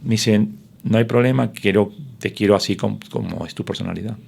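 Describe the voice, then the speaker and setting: A man's voice: on the low side, fairly quick, gravelly, darkish tone, breathy edge. A middle-aged man, a sports broadcaster whose first language is Spanish, telling his story in an interview with many fillers and restarts.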